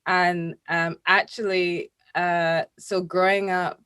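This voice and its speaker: A Scottish woman in her thirties, a dermatologist guest on a video call, friendly and quick to laugh. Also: on the low side, flat delivery, a bit bright, smooth, no room sound. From Scotland. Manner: friendly, laughs easily, quick agreeing asides. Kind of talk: casual interview chat.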